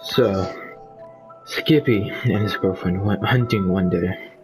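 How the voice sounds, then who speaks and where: A man improvising a mock-dramatic story for friends in a halting, pausing way, with music playing behind him. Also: low-pitched, poor audio. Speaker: amateur storyteller among friends. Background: background music.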